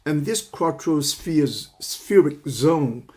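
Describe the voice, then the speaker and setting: Older man, 70s, halting lecture delivery, repeats words mid-sentence. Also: fairly high pitch, animated, darkish tone. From China. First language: Cantonese. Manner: halting, repeats words while searching for phrasing. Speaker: counseling psychology teacher. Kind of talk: lecture introduction.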